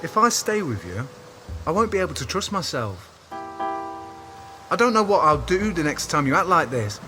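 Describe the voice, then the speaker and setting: A man's voice: on the high side, very animated, normal speed. A man playing a hurt, sensitive but stubborn boyfriend in an audio drama, earnest and emotional. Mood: hurt, resolute. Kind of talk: audio drama acting, intimate dialogue. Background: music.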